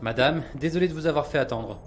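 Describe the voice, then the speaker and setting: A police chief in an audio drama acted by a man, brisk and courteous with an air of authority. Clear background noise sits behind the voice. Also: on the high side, lightly acted. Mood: businesslike.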